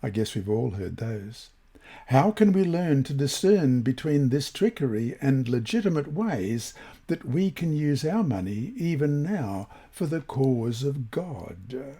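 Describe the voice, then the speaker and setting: A man's voice: mid-range voice, big pitch swings, unhurried, dark tone, slightly rough. A man reading a scripted religious study lesson as a volunteer presenter. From Australia.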